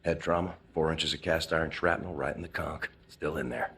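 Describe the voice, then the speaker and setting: A grim scarred soldier, very deep. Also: male voice, fairly even intonation, a little fast, husky, heavily acted. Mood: deadpan. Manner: low, terse, growling. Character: grim battle-scarred American male soldier. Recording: game audio with loud music.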